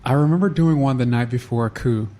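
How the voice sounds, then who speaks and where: A man guest on a podcast, talking through how he started a charity in a halting, unhurried way with frequent restarts. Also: mid-range voice, warm tone. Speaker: nonprofit founder, guest. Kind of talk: casual interview.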